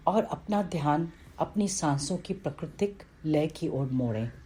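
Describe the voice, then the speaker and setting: A woman reading a scripted guided meditation in a calm, instructional way, with pauses between phrases. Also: sits low, slight background noise.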